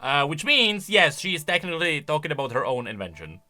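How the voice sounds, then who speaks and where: A man with a fairly high, bright voice gives casual reaction commentary. His pitch jumps around in an animated, exclamatory way as he thinks aloud. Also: a little fast. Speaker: video creator and commentator.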